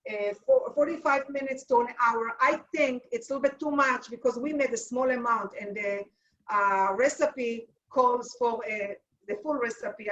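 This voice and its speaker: A middle-aged woman from Israel with an Israeli accent, demonstrating a recipe in a halting, practical way and searching for words. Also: fairly high pitch, a bit bright, slight background noise. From Israel, family from Tunisia. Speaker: cooking instructor. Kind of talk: live cooking demonstration. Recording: distant, dull lo-fi mic.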